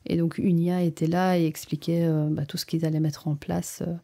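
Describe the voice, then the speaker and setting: A woman, a guest from a local integration initiative, speaking hesitantly with many pauses. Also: low-pitched, flat delivery, vocal fry, dark tone. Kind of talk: conversational podcast interview.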